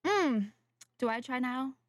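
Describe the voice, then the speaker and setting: A woman's voice: high-pitched, lively intonation, slow, dry recording. A young adult woman streaming a game, chatting casually with viewers in a slightly nasal voice from a sore throat, rambling and correcting herself. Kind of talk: casual live-stream chat while gaming.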